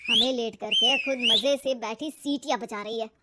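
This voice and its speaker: Lady doing a young husband in a cartoon story, high voice, pleading, trying to keep everyone calm. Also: normal speed, very noisy. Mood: impatient. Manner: pleading, reasoning. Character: placating young husband caught between wife and mother.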